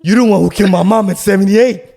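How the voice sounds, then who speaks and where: A man voicing an unhinged, grief-stricken man who shouts accusations and repeats himself insistently. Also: high-pitched, fast talker, vocal fry, voice pushed up. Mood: accusing, agitated.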